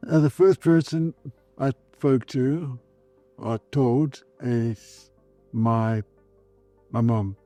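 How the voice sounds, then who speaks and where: South African man, mellow easygoing voice. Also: medium pitch, very slow, pauses often, no echo, faint music behind. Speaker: interviewee. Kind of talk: documentary interview.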